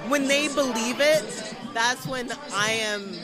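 A woman's voice: on the high side, slow. An American woman chatting casually and emphatically as an interview guest, often doubling back on her phrases. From Florida, US. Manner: effusive, emphatic, repeats herself. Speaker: cosplayer being interviewed. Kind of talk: casual excited interview chat. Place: busy fan convention. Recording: music and crowd noise behind. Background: music, crowd.

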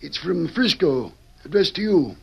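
An actor playing a crusty, plainspoken Western storekeeper in an old radio drama, with a gravelly voice and folksy, blunt American frontier talk. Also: male voice, a little high, very animated, measured pace, exaggerated voice. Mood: friendly. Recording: dull vintage radio recording.

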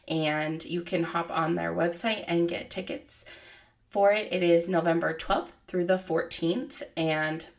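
Casting: woman, 30s, podcast host, low gravelly voice, slightly hoarse, chatty and casual. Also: fairly even intonation, unhurried. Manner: chatty, many fillers like "like" and "you know". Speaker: podcast host and child therapist. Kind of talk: casual solo podcast talk.

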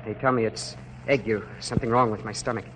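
A young man playing a sick, desperate soldier, earnest and halting, in a 1940s American radio drama. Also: fairly low pitch, fairly even intonation, lightly acted. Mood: halting, pleading. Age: young adult. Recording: noisy vintage 1940s radio recording.

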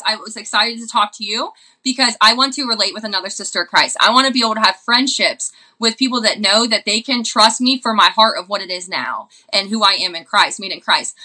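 Earnest woman with a very bright, forceful voice. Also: mid-range voice. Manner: earnest, impassioned, repetitive phrasing.

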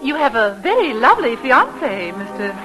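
Mature society wife, old-time radio comedy: very high, coy, gushing, swooping delivery, vintage sound. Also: female voice, sing-song, normal speed. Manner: gushing, breathless hesitations, giggly. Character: fluttery, girlishly flattered mature married society lady. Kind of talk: 1940s-50s radio comedy acting. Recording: vintage radio recording, music behind.

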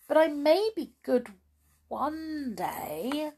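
A woman voicing a naughty, spiteful female toy doll in a storybook, speaking shrill and cross. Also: expressive, slow pace, a bit bright, heavily acted. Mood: contrite.

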